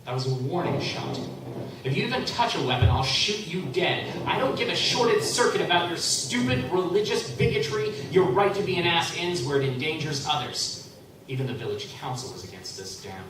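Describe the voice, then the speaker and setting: A man playing a brisk, commanding tinker. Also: a little high, expressive, close to an everyday voice. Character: decisive tinker, steady leader. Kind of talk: live radio play acting. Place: convention hall. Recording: very echoey hall, from far off, noisy.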